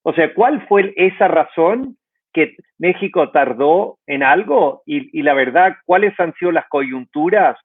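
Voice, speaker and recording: A man's voice: fairly high pitch, animated, measured pace, husky. A man hosting a podcast, asking questions in a conversational interview style. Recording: narrow, phone-like line.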